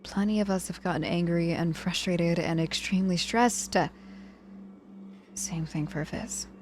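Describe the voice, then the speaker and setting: A woman acting a proud, guarded young witch hurt in hospital, halting and grudgingly tender, in an intimate ASMR audio roleplay. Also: lowish voice, a little mellow, soft background music, natural voice. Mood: quiet, confiding.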